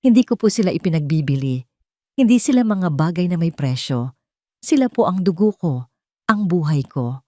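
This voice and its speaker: A proud young mother of little means, played by a woman storyteller, answers with polite but firm defiance. Her voice sits a little low and earnest, recorded dry for an audio drama. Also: animated, moderate pace, dark tone, no room sound.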